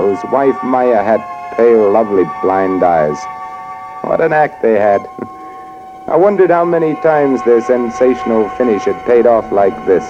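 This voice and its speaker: An actor playing a haunted, evasive man narrating in terse, hard-boiled 1940s radio noir style. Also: fairly low pitch. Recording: muffled old radio recording with music.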